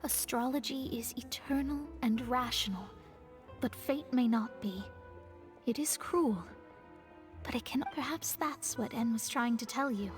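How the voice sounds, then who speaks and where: A woman's voice: fairly high pitch, close to an everyday voice. A woman playing an earnest, proud young female astrologer mage in a video game, speaking articulately and formally. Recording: faint music behind the voice.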